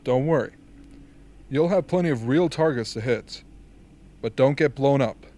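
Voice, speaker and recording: A man playing an authoritative, measured military strategist in an amateur radio drama. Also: medium pitch, warm tone, natural voice. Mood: dry, commanding. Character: commanding, dryly formal rebel military strategist briefing soldiers.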